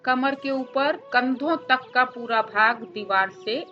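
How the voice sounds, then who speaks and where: A woman meditation teacher giving guided instructions gently, phrase by phrase, with pauses between them. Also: high voice, muffled recording, faint music behind.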